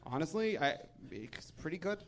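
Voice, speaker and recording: A wry American man with a fairly high voice hosts a topical comedy panel. He moves between joking banter and exasperated commentary, swearing casually as he goes. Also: very animated, normal speed, noisy background. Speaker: host of a political comedy panel show.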